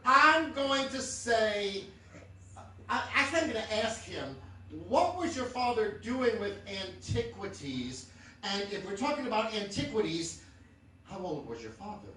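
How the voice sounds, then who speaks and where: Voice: medium pitch, very animated, unhurried, sharp tone. A performer playing a wisecracking, heckling contestant in live stage comedy banter. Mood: mock pondering. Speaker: panel show contestant. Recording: echoey stage from far off, faint noise.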